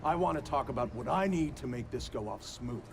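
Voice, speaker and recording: A scheming, self-assured boss played by a man in a spy video game. His medium-pitched voice is assertive and businesslike, set against clear music. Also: expressive, a little fast, natural voice. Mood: controlled, businesslike.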